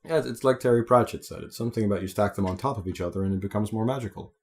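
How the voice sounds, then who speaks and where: A man's voice: on the low side, very fast, soft background music. A man giving casual Let's Play commentary over game cutscenes, rambling off into anecdotes. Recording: faint noise, game audio behind.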